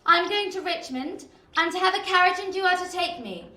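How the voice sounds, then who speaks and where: A woman's voice: very high-pitched, a little fast, bright, forceful tone, slight background noise. An actress playing an elegant, aloof young Victorian lady, commanding and coolly teasing in an audio drama. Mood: imperious. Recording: roomy, echoing sound.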